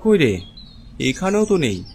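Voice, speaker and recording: A man's voice: a little high, sing-song, unhurried, over music, voice pushed up. A man playing a young village man in a horror cartoon, earnest and questioning as he seeks his friends. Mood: concerned, searching. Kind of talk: cartoon horror story dialogue.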